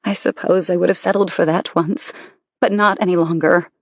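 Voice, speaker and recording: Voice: mid-range voice, steady tone, normal speed, no room sound, natural voice. An audiobook narrator voicing a betrayed wife who is walking out on her husband, speaking in a cold, cutting, emphatic way. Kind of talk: audiobook character reading.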